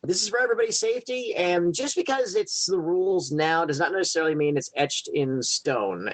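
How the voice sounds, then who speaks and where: Halting male podcast co-host, very dry sound. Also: medium pitch, a bit bright, dry recording. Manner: halting, repeats and rephrases himself as he goes. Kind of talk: casual podcast chat.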